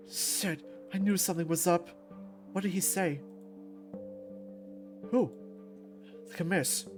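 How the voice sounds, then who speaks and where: A woman reading a visual novel aloud and voicing every character herself. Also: lowish voice. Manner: shifts into loud outbursts for angry lines.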